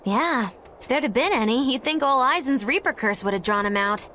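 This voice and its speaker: A woman's voice: sits high, lively intonation, a little fast, a little hiss or hum. An actress voicing a breezy, eccentric young woman in a video game, theatrical and teasing, with a wry, droll manner. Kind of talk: English-dub video game acting. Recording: loud music behind.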